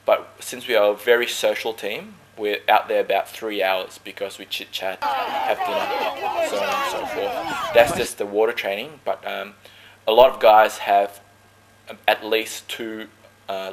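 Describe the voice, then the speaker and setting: A man from Malaysia who talks about his team sport in relaxed, joking interview answers. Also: on the low side, measured pace, background noise. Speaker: dragon boat paddler.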